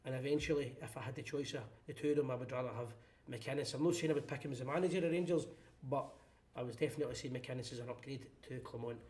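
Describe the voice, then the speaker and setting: A man's voice: medium pitch, a little mellow. A Scottish man hosting a live fan phone-in show, blunt and opinionated in casual chat. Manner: blunt, opinionated, quick-talking.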